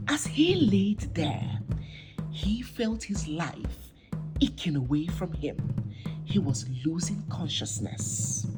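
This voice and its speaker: A woman narrating a scripted folktale as a storyteller, with deliberate, dramatic phrasing and pauses. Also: fairly low pitch. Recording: music behind the voice. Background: instrumental music.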